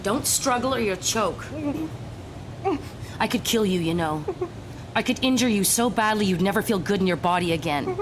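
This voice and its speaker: A woman's voice: moderate pace, pauses often, a little hiss or hum, close to an everyday voice, rough recording. A woman playing a defiant, threatening young rebel. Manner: curt, threatening, low commands. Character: defiant, dangerous young woman escaping captivity.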